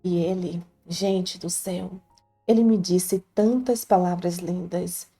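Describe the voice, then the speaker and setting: Low, mellow Brazilian woman storyteller. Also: takes it slowly, breathy edge. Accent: Brazilian Portuguese. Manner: earnest, heartfelt confiding tone. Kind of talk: first-person scripted narration. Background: faint background music.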